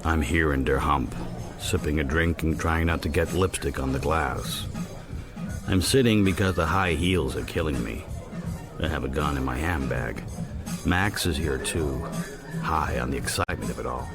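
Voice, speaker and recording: A very low-voiced, secretive male criminal narrates a radio drama. He is measured and wryly understated, keeping cool throughout. Music plays clearly behind him. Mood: hushed tension. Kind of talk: radio drama, first-person narration and dialogue.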